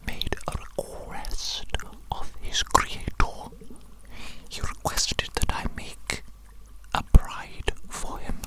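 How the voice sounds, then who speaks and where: A performer acting out an evil mad scientist in a whispered ASMR roleplay. The voice is all breath, hushed and unvoiced, lingering theatrically over repeated phrases with a doting, sinister air. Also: natural voice, rough recording.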